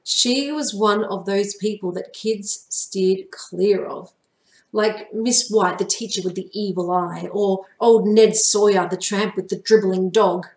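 A woman reads a children's book aloud with an expressive teacher's delivery. Her pitch sits in the middle, and the room around her adds noticeable echo. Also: measured pace. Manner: animated, acts out the dialogue. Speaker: primary teacher reading aloud. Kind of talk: expressive children's storybook narration. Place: small furnished room at home. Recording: echoey home room.

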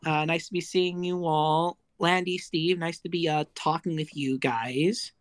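Effusive woman with a slightly low voice. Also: fairly even intonation, unhurried, dry recording, studio quality. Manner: effusive, chatty. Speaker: audience member asking a question. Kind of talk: casual live voice chat.

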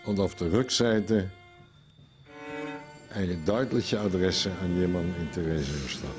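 A man recounts memories in a very deep voice. He goes very slowly, phrase by phrase, with faint string music playing behind him. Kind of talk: recounting memories, slow testimony.

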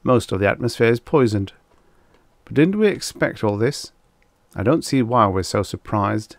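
A man's voice: medium pitch, lively intonation, normal speed, vocal fry, no echo. A man voicing a grim, matter-of-fact spaceship captain in an audiobook. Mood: grim, resigned. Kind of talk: audiobook character voice.

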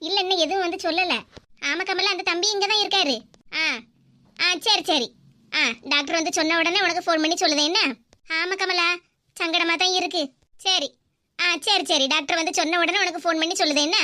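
A woman voicing a kindly neighbor who calmly comforts a worried family. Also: very high voice, brisk. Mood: soothing. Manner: soothing, reassuring.

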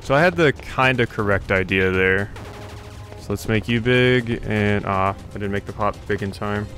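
A man's voice: fairly low pitch, moderate pace. A man giving casual let's play commentary on a video game, thinking aloud as he plays. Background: video game music and effects.

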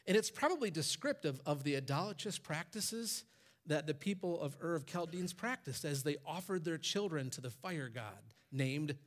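Man, 40s, pastor, sermon, animated preaching, church stage, headset mic, slightly high voice. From Michigan, USA. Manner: animated preaching, voice rising for quoted commands.

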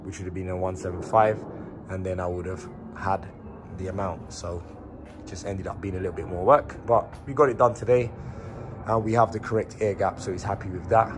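Hoarse man with a sore throat. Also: lowish voice, a little hiss or hum. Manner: relaxed, explains step by step with fillers. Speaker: builder vlogger. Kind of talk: casual site walkthrough. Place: unfinished building extension under a new roof, indoors. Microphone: phone held at arm's length.

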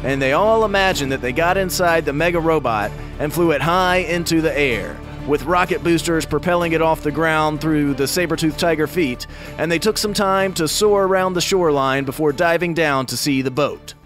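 A man's voice: a little high, moderate pace, over music. A man narrating for children as a storyteller, in a casual style with wry asides. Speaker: children's storyteller and show creator. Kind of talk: scripted story narration for kids.

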